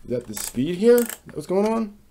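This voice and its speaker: A man thinking aloud in a casual, halting, tentative way as he works with his hands on a DIY project. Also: sits high, very animated. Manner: muttering to himself, tentative, halting. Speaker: host of a DIY workshop vlog. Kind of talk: casual thinking-aloud commentary while working. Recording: loud power drill and tool noise. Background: power drill, tool clicks.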